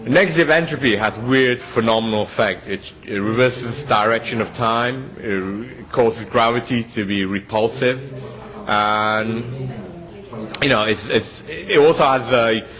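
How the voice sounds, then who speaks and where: A man's voice: mid-range voice, measured pace, a crowd in the background. A middle-aged man, grew up in England, explaining his theory at length as an interviewee, full of hesitant fillers and restarts. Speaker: physicist interviewee.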